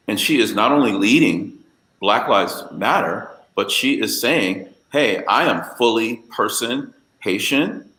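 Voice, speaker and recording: A man's voice: mid-range voice, fairly bright tone, echoey room, rough recording. A man speaking earnestly on a panel discussion, emphatic and with frequent fillers.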